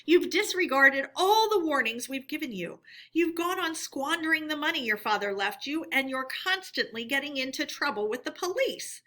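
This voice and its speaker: A woman's voice: sits high, animated, normal speed, bright, forceful tone, pitched above the performer's own voice. A woman voicing a stern, solemn male badger from a children's storybook, commanding and formal. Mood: stern, reproving. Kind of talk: children's storybook character reading.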